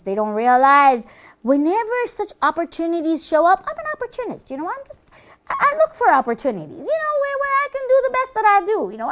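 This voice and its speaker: A woman voicing a boastful, gleeful devil in a puppet show, brash and chatty, repeating words for emphasis. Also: sits high.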